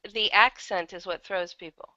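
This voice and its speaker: A woman's voice: lowish voice, fairly even intonation, normal speed, pushed and bright, no echo, muffled recording. A woman chatting casually as an interview guest, talking as an audio drama writer and producer.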